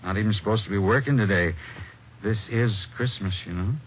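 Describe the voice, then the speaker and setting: An actor playing a frightened, hesitant small-town doctor in a 1950s radio drama. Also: male voice, lowish voice, fairly quick, natural voice. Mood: nervous, evasive. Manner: hesitant, nervous. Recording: muffled, hissy old radio broadcast.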